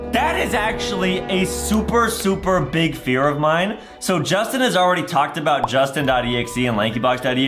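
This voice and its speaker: Theatrical young man commentating on games, roomy sound. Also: fairly high pitch. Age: 20s. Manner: exaggerated, theatrical reactions with shouts and drawn-out words. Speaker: gaming video host. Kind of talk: excitable gameplay commentary for kids. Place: indoor room with bare walls.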